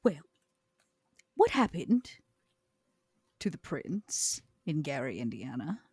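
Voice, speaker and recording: A woman playing a poised, probing vampire outsider, speaking in a deliberate, wryly formal, coaxing way in a roleplaying game. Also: deep voice, big pitch swings, a little mellow, husky, no echo, pitched below the performer's own voice, heavily acted. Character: poised, probing, socially outcast female Toreador vampire. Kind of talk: improvised in-character roleplay.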